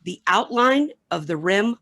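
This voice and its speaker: A woman delivers scripted narration for a radio play reading. Her pitch sits in the middle of the female range, and she reads stage action as a storyteller. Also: animated, a little slow, a bit bright.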